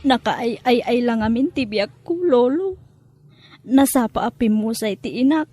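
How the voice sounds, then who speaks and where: A woman's voice: on the high side, lively intonation, unhurried, a little mellow, breathy edge, dry recording. A woman playing a sad, polite young granddaughter in an Ilocano radio drama, speaking in a plaintive, respectful way. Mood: sorrowful, grateful.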